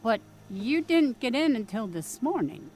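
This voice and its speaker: A woman's voice: on the high side, big pitch swings, measured pace, darkish tone, faint music behind, low-quality recording. An actress with a Midwestern accent playing a pushy, folksy small-town mother in an audio drama. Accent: Midwestern American. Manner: folksy, scolding, plain-spoken. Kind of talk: period radio drama acting.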